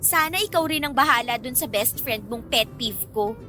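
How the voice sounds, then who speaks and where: A woman's voice: fairly high pitch, moderate pace, sharp tone, soft background music. A woman playing a feisty young Filipina in a radio romance drama, bickering with sassy, sarcastic and whiny banter. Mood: exasperated. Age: young adult.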